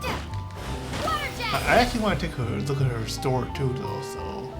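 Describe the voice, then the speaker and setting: A woman's voice: very deep voice, wildly swooping pitch, measured pace. A woman game streamer giving casual, offhand, rambling commentary as she plays. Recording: game music behind the voice.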